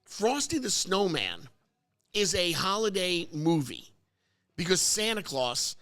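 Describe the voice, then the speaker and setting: A man hosting a casual talk show and bantering with his co-host in a car service center. He is losing his voice and gets excitable, and his pitch jumps up when he argues. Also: slow pace. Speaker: podcast host.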